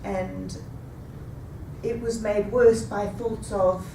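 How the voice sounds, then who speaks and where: A woman in her fifties telling a rehearsed personal story with a measured, deliberate delivery. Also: mid-range voice, steady tone, creaky, warm tone, slight background noise. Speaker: speaker. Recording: echoey room, taken from a distance.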